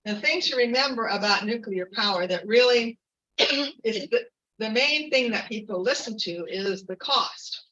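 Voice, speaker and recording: A woman giving an earnest, informal activist talk at a community meeting, halting with fillers and restarts. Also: fairly high pitch, pushed and bright, distant mic, highs cut off. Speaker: activist speaker.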